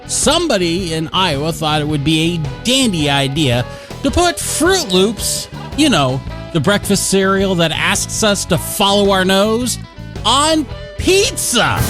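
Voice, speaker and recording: Radio DJ, highly animated and jokey, punchy theatrical emphasis, music underneath. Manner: jokey, playfully self-deprecating, theatrical emphasis. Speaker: radio DJ and host. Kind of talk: upbeat radio DJ patter over music. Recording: music bed behind the voice.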